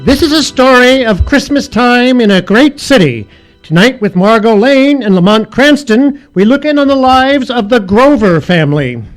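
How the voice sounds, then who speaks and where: A man's voice: extremely high pitch, animated, moderate pace, faint music behind, poor audio. A man announcing and narrating a live old-time radio mystery in scripted style. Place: live performance in a hall.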